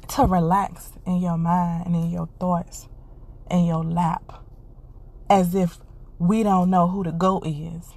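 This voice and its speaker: A woman's voice: fairly low pitch, gravelly, a little mellow, slightly rough. A woman hosting a podcast, giving an earnest, encouraging casual monologue and repeating her phrases, congested and stuffy. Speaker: podcast host.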